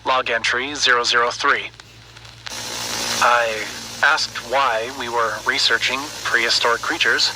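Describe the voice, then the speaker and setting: A man's voice: mid-range voice, steady tone, a little slow, close to an everyday voice. A man playing an earnest research scientist recording a secret log, speaking thoughtfully with some hesitation on a noisy recording. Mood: uneasy, measured. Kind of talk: dramatic audio log narration.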